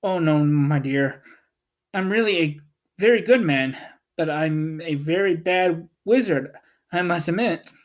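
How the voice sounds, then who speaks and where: A reader as a meek, slow-talking humbug wizard. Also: mid-range voice, natural voice. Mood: apologetic. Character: meek, kindly humbug wizard, little man. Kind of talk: storybook character reading.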